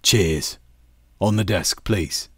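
A man doing a low, weary army captain. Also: slow, husky, no echo, clean studio sound, full character voice. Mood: relaxed. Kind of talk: audiobook character voice.

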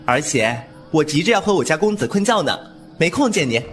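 男演员饰演的语调活泼、嘴快顽劣的少侠. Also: male voice, a little high, big pitch swings, fairly quick, soft background music. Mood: mocking. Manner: impulsive, sharp-tongued, irreverent. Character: brash, cheeky young male martial-arts hero. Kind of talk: Chinese wuxia audio drama acting.

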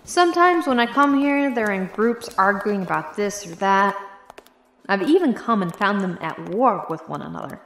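A woman's voice: medium pitch, animated, normal speed, a bit bright. A woman playing a candid, chatty young graduate student in an audio drama. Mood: explaining. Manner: conversational, candid.

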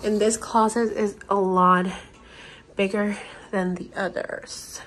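A young woman from Puerto Rico, gushing with excitement as she films a tour of her new empty house on a handheld phone. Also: medium pitch, slow pace, a little hiss or hum, low-quality recording. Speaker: vlogger. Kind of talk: home tour vlog. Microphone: handheld phone camera.

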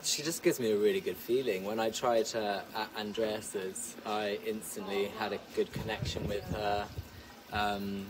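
A young man, a dressage rider, answering interview questions outdoors in an easygoing way with many ums and quick yeahs. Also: lowish voice, recorded from a distance, noisy background. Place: outdoors in front of a hedge.

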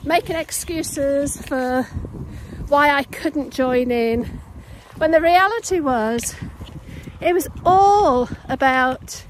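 A woman's voice: high voice, takes it slowly, poor audio. A woman in her late fifties talking reflectively to camera, recorded outdoors on a phone held at arm's length. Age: late 50s. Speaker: vlogger. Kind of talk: personal reflective vlog to camera. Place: outdoors in open countryside on a walk. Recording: clear outdoor background noise.

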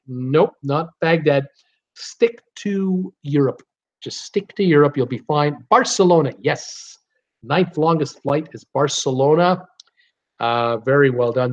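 A man in his sixties hosting a casual livestream quiz from a home office, reading out guesses and answering himself in short, clipped phrases. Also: fairly high pitch. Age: 60s. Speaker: livestream host. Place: small home office, indoors. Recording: slightly dull webcam audio.

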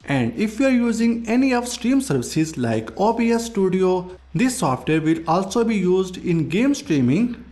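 Animated, high-pitched man presenting tech. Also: moderate pace, soft background music. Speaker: tech review host. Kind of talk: scripted tutorial narration.

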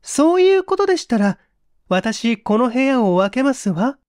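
An anxious, well-mannered young woman, acted by a woman narrator recounts her ordeal in a high voice. She is polite and flustered, in dramatic audiobook style. The recording is dry and intimate. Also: very animated, moderate pace, a little mellow, no echo. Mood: startled, polite.

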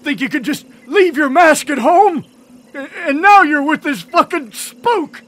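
A man's voice: very high-pitched, lively intonation, measured pace, a bit bright, exaggerated voice. An actor playing a frightened, angry, protective old man in a video game, agitated and desperate, with an American accent. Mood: furious, panicked. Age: older. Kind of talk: dramatic video game acting.

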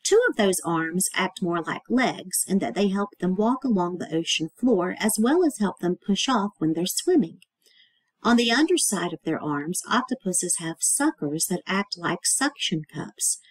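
A woman reading aloud to young children as a teacher, in an expressive way, adding simple asides and checking questions. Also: lowish voice, measured pace, breathy edge, no echo.

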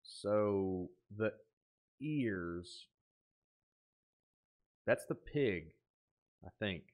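A man in his thirties giving off-the-cuff reaction commentary, thinking aloud in an excitable but musing way. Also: on the low side, very slow. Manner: excitable, exclaiming, dropping to musing asides. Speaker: host of a reaction video. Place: small indoor room at a desk.

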